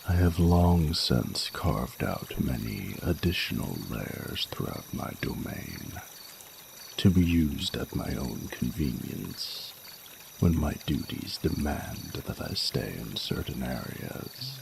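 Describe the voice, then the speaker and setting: Ancient male dragon lover, played by a man: rumbling bottom-register voice, gravelly, regal and flirtatious, formal archaic delivery over trickling water. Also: big pitch swings, a little hiss or hum, full character voice. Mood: proud, serene. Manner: formal archaic phrasing, slow deliberate pauses, seductive. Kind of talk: romantic audio roleplay, intimate monologue to the listener.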